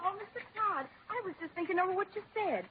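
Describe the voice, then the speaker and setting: A brisk, slightly dim office secretary, high-pitched with a very lively swing to her voice. Old radio comedy acting, on a dull recording with faint noise. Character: brisk office secretary, a little slow on jokes.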